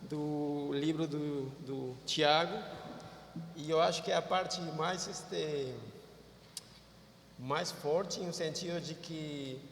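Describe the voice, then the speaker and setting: A Peruvian man whose first language is Spanish, preaching a sermon in Portuguese with a Peruvian Spanish accent, halting and repeating words as he searches for phrases. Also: on the high side, fairly even intonation, fairly bright tone, a little hiss or hum. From Peru. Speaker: pastor. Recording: echoey hall, far from mic.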